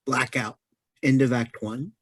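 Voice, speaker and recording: A man's voice: medium pitch, steady tone, unhurried, breathy edge, no echo, studio quality. A man narrating stage directions in a scripted, play-reading style.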